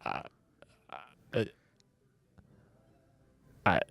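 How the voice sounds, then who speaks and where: A man's voice: drawn-out words, fairly bright tone, dry recording. A man chatting casually as a player in a tabletop game, rambling and repeating words as he works out his thoughts. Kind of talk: casual chat about his character.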